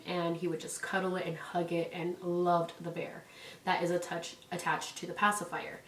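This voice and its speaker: A woman's voice: lowish voice, a bit bright, distant mic. Stuffy-nosed woman chatting in an echoey room. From California. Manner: rambling, many "like"s and "um"s. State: stuffy, congested from a cold. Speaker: parenting vlogger. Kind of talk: casual chatty vlog. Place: indoors at home. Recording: echoey room, away from mic.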